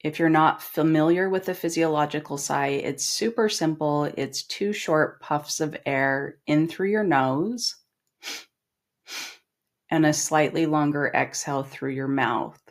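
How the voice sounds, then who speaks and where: A middle-aged woman leading a guided meditation in a calm, gentle, instructing manner with long pauses. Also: low voice. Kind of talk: guided body scan meditation.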